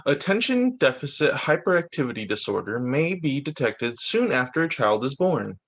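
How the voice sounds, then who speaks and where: High-pitched, lively man reading aloud. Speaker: teacher reading picture books. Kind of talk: read-aloud story time for children.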